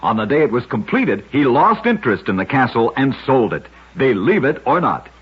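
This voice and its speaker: Husky, animated man narrating vintage radio oddities. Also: mid-range voice, moderate pace, dull audio. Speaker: radio storyteller, host.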